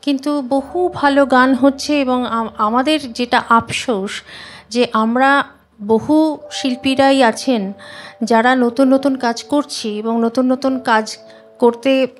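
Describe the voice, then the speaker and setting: A woman's voice: high-pitched, steady tone, slow. A woman, answering interview questions in an earnest, emphatic, conversational way. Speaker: singer, interview guest.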